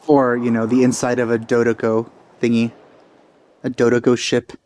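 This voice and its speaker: A man's voice: medium pitch, completely flat delivery, moderate pace, a little mellow. A man giving casual let's play commentary, informal and musing to himself as he goes. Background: faint game music.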